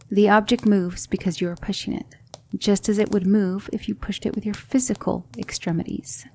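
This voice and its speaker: A woman playing a gentle, patient demon mentor in an ASMR roleplay, coaxing her student with soft, deliberate instruction that often drops toward a whisper. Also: medium pitch, creaky, a little hiss or hum. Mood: calm, instructive. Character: gentle female demon mentor teaching magic, patient, reassuring.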